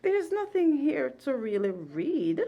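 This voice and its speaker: A woman's voice: high voice, big pitch swings, slow, lo-fi sound. A woman doing a casual read-along as a mother with a child, in a sing-song way, speaking to the child and to viewers. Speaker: mother reading a picture book with a child.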